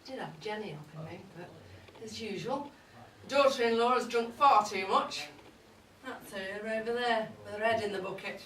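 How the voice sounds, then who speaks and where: A woman's voice: medium pitch, normal speed, fairly bright tone, lightly acted. A woman playing a cheeky, boozy older mother-in-law, gossiping in a wry, bawdy, confiding comic monologue. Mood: chatty, amused. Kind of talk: comic dramatic monologue read to a live audience. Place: indoor live event at a pub. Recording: roomy, distant live recording with audience noise. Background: audience crowd.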